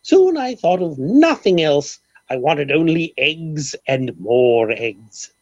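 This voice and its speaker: Pompous, swooping eccentric millionaire. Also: male voice, fairly high pitch, very animated, slow pace, a little mellow, full character voice. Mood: anguished obsession. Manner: theatrical, grandiloquent, flowery formal speech. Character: pompous eccentric millionaire, obsessed bird egg collector. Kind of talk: old-time radio comedy acting. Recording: dull old radio recording.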